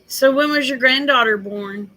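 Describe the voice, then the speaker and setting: A woman's voice: high voice, moderate pace, bright, forceful tone, low-quality recording. An older woman, rambling in a folksy, casual chat with her viewers. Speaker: vlogger.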